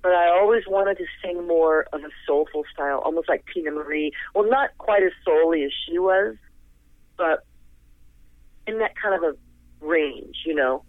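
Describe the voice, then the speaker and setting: A woman's voice: fairly low pitch, moderate pace, no room sound. An older woman, a singer, chatting as a guest in an interview, talking effusively and rambling with frequent laughs, heard over the phone. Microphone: telephone. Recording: tinny phone line, dry.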